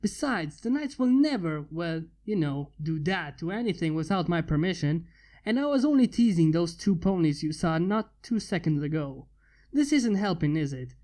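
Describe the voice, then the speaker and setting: A man's voice: fairly high pitch, expressive, moderate pace, dark tone, natural voice. A man voicing a cocky, teasing inventor in a story, with a casual, taunting manner. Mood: sheepish reassurance. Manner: taunting, casual, mock-menacing. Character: cocky, teasing human male inventor with armored bodyguards.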